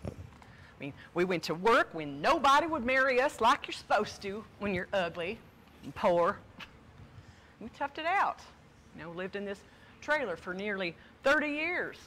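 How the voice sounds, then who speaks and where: A woman's voice: medium pitch, sing-song, moderate pace, sharp tone, low-quality recording. Actress as a scolding old woman, swooping intonation. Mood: bitter. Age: older. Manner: blunt, dismissive, exasperated. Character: scolding, cautious, embittered older sister, set in her ways. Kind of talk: stage play dialogue.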